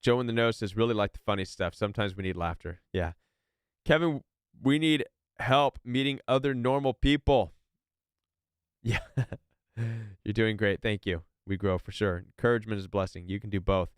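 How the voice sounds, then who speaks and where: A man's voice: fairly low pitch, moderate pace, clean tone, no room sound, studio quality. A man hosting a live stream chat, talking in a rambling way with many restarts and repeated words, and reading viewer comments aloud. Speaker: podcast host.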